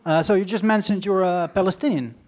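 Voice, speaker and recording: A man interviewing a guest live, putting his questions in a hesitant, halting way. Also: high-pitched, darkish tone. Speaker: interviewer, moderator.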